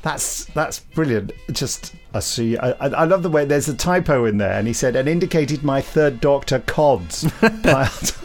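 A man's voice: mid-range voice, lively intonation, moderate pace, few pauses, soft background music. A man hosting a podcast with casual, enthusiastic banter and a jokey manner. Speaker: podcast host and interviewer.

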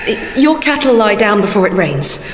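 An actress playing an imperious, eerie seer in an audio drama, speaking in a grave, formal, cryptic way. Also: female voice, mid-range voice, big pitch swings, normal speed, slight background noise, natural voice. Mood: grave, probing. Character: imperious, eerie woman seer fascinated by alien minds. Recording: echoey reverberant hall, loud music.

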